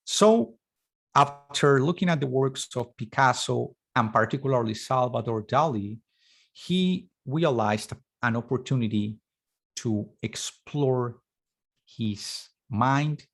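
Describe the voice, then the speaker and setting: Lively male art lecturer. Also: mid-range voice, takes it slowly. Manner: animated, thinking aloud with fillers and self-corrections. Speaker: art talk presenter.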